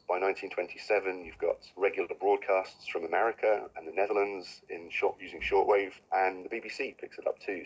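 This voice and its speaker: A man's voice: low voice, slightly rough, dull audio. A man giving an informal explanatory talk as an expert guest.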